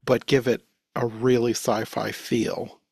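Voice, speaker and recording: A man's voice: medium pitch, level tone, slow, creaky, darkish tone, husky, dry recording, clean studio sound. A man talking casually as a panelist in a podcast discussion.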